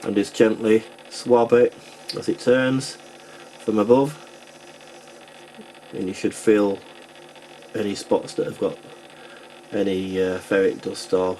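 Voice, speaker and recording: A man with a fairly low voice talks through a practical repair in an informal, matter-of-fact way. He sounds like a technician explaining each step while his hands are busy. The recording has clear background noise and clicking. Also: level tone, slow pace, long pauses, dark tone, poor audio. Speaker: repair technician and video host. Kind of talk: informal hands-on tutorial narration.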